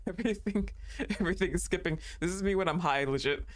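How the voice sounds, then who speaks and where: A woman's voice: fairly low pitch, fairly quick. A young woman streaming a game, talking casually, laughing often and swearing freely.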